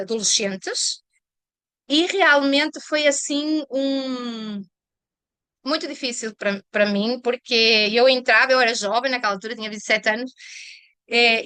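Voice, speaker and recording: Portuguese-accented woman, smooth dry voice. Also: on the high side, pushed and bright, dry recording. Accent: European Portuguese. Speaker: Portuguese teacher. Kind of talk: interview guest telling her career story. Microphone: video call.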